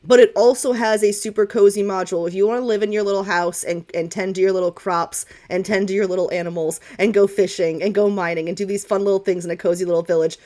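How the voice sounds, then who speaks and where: A woman running a tabletop game as game master, casually narrating in a rambling, candid way and swearing freely. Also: medium pitch.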